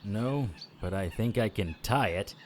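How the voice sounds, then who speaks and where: An actor playing a wry, calm, hard-boiled private investigator in a noir audio drama, speaking in a measured, deadpan way. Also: male voice, fairly low pitch, a little hiss or hum. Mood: polite, probing. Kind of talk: first-person noir audio drama narration. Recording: music behind the voice.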